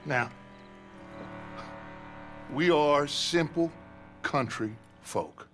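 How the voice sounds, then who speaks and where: A silver-tongued middle-aged outlaw leader, measured and slow. His slightly high voice rises and falls expressively, with quiet music behind. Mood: persuasive. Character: smooth-talking male outlaw gang leader. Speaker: gang leader. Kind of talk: video game cutscene acting.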